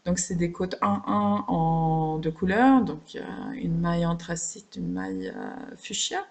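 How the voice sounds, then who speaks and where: A woman talks off the cuff to her vlog viewers. Her voice sits a little low for a woman and crackles with fry. She is reflective and gently self-deprecating, searching for words as she goes. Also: sing-song, a little slow. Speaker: craft vlogger. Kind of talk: casual, unscripted personal vlog chat.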